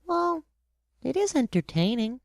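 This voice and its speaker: A woman's voice: high voice, very animated, unhurried, vocal fry, dry recording, clean studio sound, full character voice. A woman playing an earnest, persistent young boy prince full of wonder in a radio drama. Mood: earnest, thoughtful. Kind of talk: theatrical radio drama acting.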